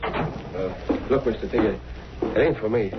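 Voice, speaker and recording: Very noisy muffled vintage radio, actor as meek hard-up man, hesitant, pleading, plain-spoken, mid pitch. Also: measured pace, close to an everyday voice. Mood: anxious, pleading. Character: meek, desperate poor man begging for relief. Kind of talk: 1930s-40s radio drama acting.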